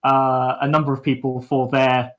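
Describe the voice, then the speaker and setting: A man's voice: mid-range voice, flat delivery, normal speed. Young male, student radio co-host. Casual, conversational livestream chat. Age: young adult.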